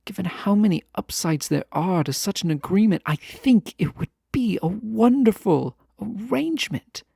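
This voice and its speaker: A man playing a lovesick, proud fantasy ruler in a romantic audio drama, speaking formally, earnestly and with hesitant pauses. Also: high-pitched, lively intonation, gravelly, dark tone, slightly rough, no echo, studio quality, heavily acted. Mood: earnest, hopeful. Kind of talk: scripted romantic audio roleplay, close and intimate.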